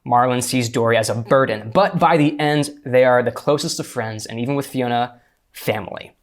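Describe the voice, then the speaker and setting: A man's voice: mid-range voice, normal speed. A man speaking as a script consultant, giving a methodical, articulate prepared critique in a panel discussion.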